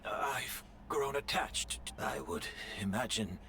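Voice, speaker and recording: Slow, gentle old master. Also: male voice, fairly low pitch, soft background music, exaggerated voice. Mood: calm, wistful. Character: elderly gentle male master who tends plants. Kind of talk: video game voice acting.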